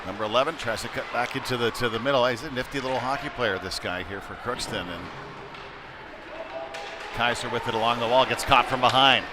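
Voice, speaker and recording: A man's voice: medium pitch, fairly quick, crowd noise. A man doing live play-by-play commentary of an ice hockey game in a folksy, informal way. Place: indoor ice rink, live stream. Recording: loud rink noise behind the voice. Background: loud arena ambience, puck and board slams.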